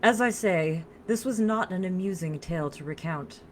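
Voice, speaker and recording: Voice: mid-range voice, moderate pace, slight background noise, pitched above the performer's own voice, lightly acted. A narrator voicing an earnest, solemn female vampire in a story, with a flowing, formal French accent. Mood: grave.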